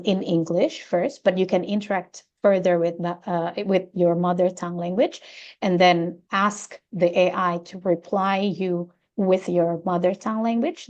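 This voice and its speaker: A woman whose first language is Indonesian presents a teaching webinar, speaking in English with many hesitant fillers as she explains software features. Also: fairly low pitch, lo-fi sound.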